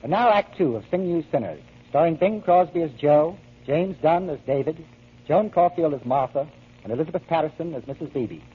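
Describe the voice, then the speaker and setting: Old time radio announcer guy, really raspy, highish voice, scripted intro, tinny sound. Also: animated, a little fast. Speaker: announcer and host. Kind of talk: 1940s radio drama presenting, scripted narration. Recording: thin phone-like old radio, music.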